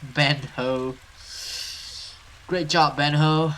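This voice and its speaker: A man's voice: fairly high pitch, steady tone, extremely slow, a bit bright, a little hiss or hum. A man hosting a let's play, reading a horror visual novel aloud and stumbling over some words as he goes.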